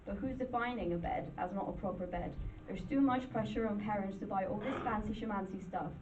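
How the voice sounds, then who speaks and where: A woman's voice: mid-range voice, a little fast. A woman giving a dramatic reading of speeches aloud. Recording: distant, muffled, noisy recording.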